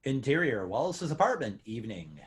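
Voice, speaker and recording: A man's voice: medium pitch, very animated, fairly quick, a little hiss or hum. A man announcing scene headings and character captions in scripted narration.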